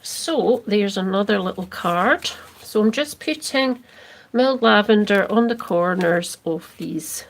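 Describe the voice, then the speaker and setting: Soft-spoken Scottish woman crafting live. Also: medium pitch, expressive. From Scotland. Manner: chatty, reads and answers viewer comments while working; slow, soft, drifting into near-whispers as she concentrates. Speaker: craft livestream host. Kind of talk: casual crafting livestream chat.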